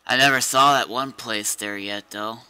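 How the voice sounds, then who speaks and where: A man chats casually with his teammates in a game. His medium-pitched voice is strikingly bright and forward, and it comes through clean and crisp. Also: fairly even intonation, moderate pace, no room sound, poor audio. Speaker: player in a zombie survival roleplay game.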